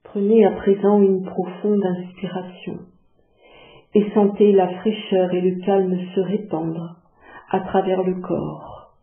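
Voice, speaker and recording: A woman leading a guided yoga relaxation, giving calm, measured instructions with long pauses. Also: mid-range voice. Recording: muffled phone-like sound, echoey, music behind.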